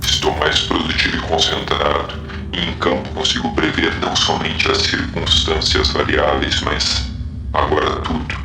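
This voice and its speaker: A man playing a cold, controlling cyborg scientist turned military commander in an audio drama, speaking tersely and arrogantly in a gravelly voice. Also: fairly even intonation, moderate pace, noisy background. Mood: cold, grandiose. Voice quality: very deep, gravelly. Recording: echoing voice under loud music.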